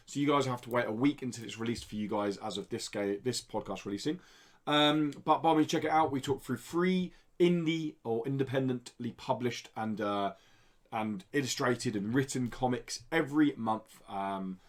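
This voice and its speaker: A man's voice: mid-range voice, fairly bright tone. A man hosting a podcast, chatting casually and addressing the listeners directly. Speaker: podcast host.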